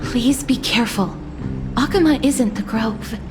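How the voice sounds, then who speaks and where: An actress playing a gentle, solemn young woman cursed by death in a video game, speaking softly and formally with measured courtesy. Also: a little high, music in the background, close to an everyday voice. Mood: polite concern. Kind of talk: scripted video game dialogue.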